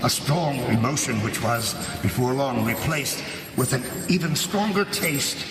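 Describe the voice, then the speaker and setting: An actor playing a scheming, vengeful nobleman who narrates a gothic radio drama with false courtesy, dramatic and deliberate. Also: mid-range voice, expressive. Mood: grave, confiding. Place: large church hall, live before an audience. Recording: echoing cavernous hall, noisy live recording.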